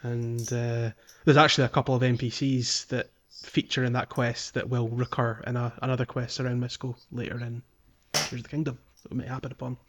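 A man with a mid-range voice co-hosts a gaming podcast. He talks casually and explains things haltingly, restarting his sentences as he goes. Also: medium pitch, level tone. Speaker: podcast co-host. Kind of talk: casual chat about a video game.

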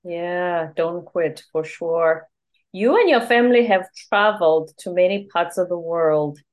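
A woman's voice: lowish voice, animated, measured pace. A woman, a Zulu speaker, hosting an interview in an affirming, conversational way. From South Africa. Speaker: interview host.

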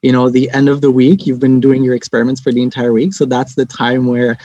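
A young man from Lebanon, a guest on a podcast, answering politely and in a measured way, with some "uh" and "um". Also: medium pitch, level tone, warm tone, no echo. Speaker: podcast guest, research coordinator. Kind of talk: interview answers. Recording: dry, dull lo-fi remote mic.

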